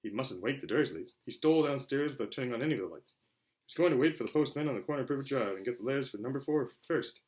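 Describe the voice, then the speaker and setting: A man narrating a children's novel aloud in an animated way, occasionally stumbling over words. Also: medium pitch, rapid-fire, low-quality recording. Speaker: reader, show host. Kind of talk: amateur audiobook-style story narration.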